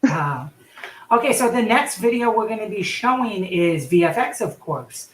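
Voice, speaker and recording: A woman's voice: mid-range voice, expressive, moderate pace, slight background noise. Chatty woman host in an echoey room. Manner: chatty, loose, lots of fillers. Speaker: livestream host. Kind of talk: casual live-stream hosting. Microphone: in-ear microphone.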